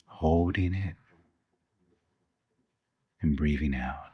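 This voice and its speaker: A man co-hosting a podcast, leading a guided meditation with soft, slow instructions and long pauses. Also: rumbling low, husky, dry recording, dull audio.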